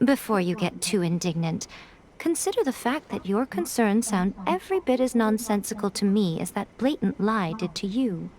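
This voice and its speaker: A woman's voice: mid-range voice, lively intonation, normal speed, darkish tone, close to an everyday voice. A woman playing a sly, poised, teasing shrine priestess in a video game, with coy and eloquent phrasing. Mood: cool, detached. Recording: music playing behind.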